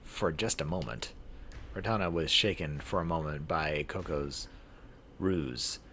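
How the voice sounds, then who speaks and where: A man's voice: extremely low pitch, measured pace, sharp tone. A man narrating a visual novel aloud as a streamer, stumbling over words and rereading them. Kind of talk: live read-aloud narration of game text. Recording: clear background noise.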